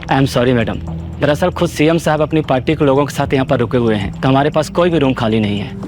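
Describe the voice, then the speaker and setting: A man plays a deferential hotel manager in an audio drama. He, a mid-pitched voice and obsequious courtesy, over clear music. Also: quick pace, lightly acted. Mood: courteous. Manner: polite, obsequious. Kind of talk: Hindi audio drama acting.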